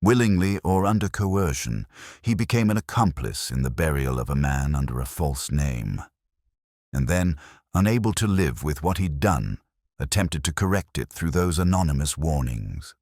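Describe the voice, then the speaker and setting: A man reading the part of a calm, incisive Victorian gentleman detective in a story, speaking deliberately and precisely. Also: very deep voice, no room sound, studio quality, full character voice. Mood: deliberate, certain.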